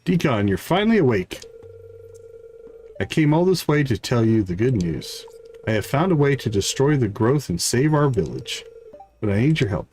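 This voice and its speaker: A man voicing an earnest, scholarly game wizard, reading the dialogue in a formal, deliberate way. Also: medium pitch, very animated, a little mellow, lightly acted. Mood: urgent. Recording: clear background noise.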